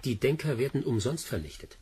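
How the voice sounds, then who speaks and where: A man playing a grave, determined scientist in a sci-fi audio drama, speaking earnestly and deliberately. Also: medium pitch, sing-song, mellow, husky.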